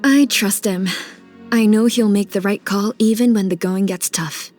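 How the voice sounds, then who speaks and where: A woman's voice: mid-range voice, fairly even intonation, unhurried, a little mellow, soft background music, lightly acted. A woman voicing a proud, resolute mother tending the wounded in a video game, earnest and firm. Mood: steady, proud.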